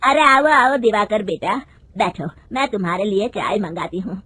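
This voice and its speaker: Woman doing a loud scolding old mother-in-law. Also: mid-range voice, animated, normal speed, heavy background noise, natural voice. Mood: bossy.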